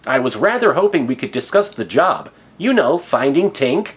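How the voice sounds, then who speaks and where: A man plays an impish young boy adventurer from a comic story. He speaks a little high, in an earnest, polite way, patiently explaining himself. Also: big pitch swings, moderate pace, breathy edge. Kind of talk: comedic fan fiction reading.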